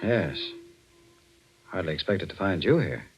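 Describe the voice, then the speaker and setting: An actor playing a skeptical, gruff police detective, dismissive and matter-of-fact, in a 1940s radio mystery. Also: male voice, sits low, expressive, unhurried, slight background noise. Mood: wry.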